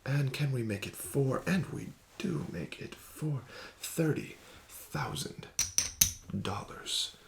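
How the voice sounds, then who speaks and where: A man hosting an ASMR roleplay as a casino dealer, soft-spoken and often whispering, smooth and gently coaxing. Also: medium pitch, lively intonation, slow, noisy background.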